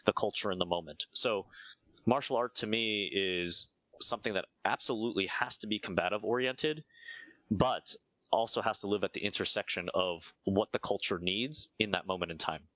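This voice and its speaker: A man from the United States talks through his ideas slowly and reflectively, often pausing on "uh" and checking in with "right?". His voice sits very low. It is recorded dry and close, with no room sound. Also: pushed and bright, no room sound. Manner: measured, thinks aloud with frequent "uh" and "right?". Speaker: interview guest, martial arts teacher. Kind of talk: reflective conversational explanation.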